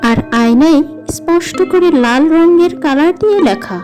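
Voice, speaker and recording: A woman's voice: very high-pitched, moderate pace, a little mellow. A woman narrating a scripted first-person horror story in a dramatic, suspenseful way. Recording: music behind the voice. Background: background music.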